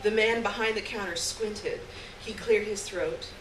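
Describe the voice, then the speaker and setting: A woman giving an expressive live reading of a short story on stage. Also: medium pitch, a little slow. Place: indoor stage reading before a live audience. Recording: echoey, noisy live room, distant mic.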